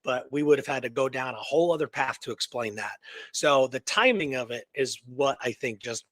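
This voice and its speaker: A man's voice: mid-range voice, fairly quick, a bit bright, dry recording. A man hosting a talk show, telling a historical story in a casual, colloquial, earnest and engrossed way. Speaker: radio show host telling a true story.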